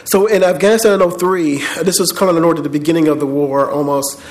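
An American man in his thirties telling his own story to an audience, casually and haltingly, into an event microphone. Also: fairly high pitch, steady tone. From born in the Bahamas, grew up in Miami and Atlanta, United States. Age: 30s. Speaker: speaker, army veteran. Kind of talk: informal personal storytelling to an audience. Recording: echoey room.

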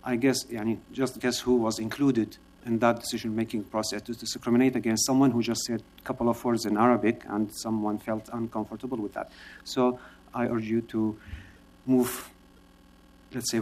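A man who grew up in Iraq, giving earnest, halting testimony to a city council, with many pauses and filler sounds. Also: on the low side, darkish tone. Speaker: public testimony speaker. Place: council chamber.

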